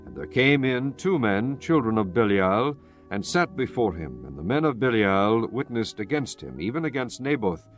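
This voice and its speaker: A man's voice: medium pitch, very animated, moderate pace, faint music behind. A man narrating scripture in a solemn, formal reading style for a dramatized Bible.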